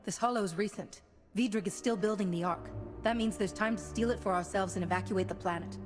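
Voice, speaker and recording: An actress playing a resolute warrior-monk heroine in a video game, composed, formal and decisive. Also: female voice, mid-range voice, a little fast, over music, close to an everyday voice. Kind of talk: scripted game acting.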